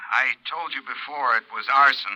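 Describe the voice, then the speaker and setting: An actor playing an anxious, evasive businessman on the telephone in an old-time radio drama, halting and insistent. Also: medium pitch, pushed and bright, highs cut off. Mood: urgent, uneasy. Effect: telephone filter. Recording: thin band-limited old radio recording.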